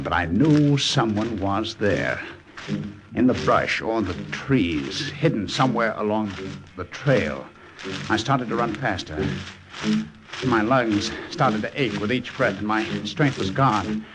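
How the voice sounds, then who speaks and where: An actor playing a nervous, feverish young undercover army lieutenant in a 1940s radio drama, earnest and hesitant, on a vintage recording. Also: male voice, lowish voice, animated, unhurried, background noise. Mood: tense, haunted. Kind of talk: 1940s American radio drama acting and narration.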